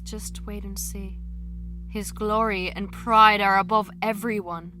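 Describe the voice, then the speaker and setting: A woman's voice: mid-range voice, little pitch movement, unhurried, sharp tone, a little hiss or hum. A woman playing an ominous, goading chorus voice in a theatrical audio tragedy. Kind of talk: dramatic theatrical audio play.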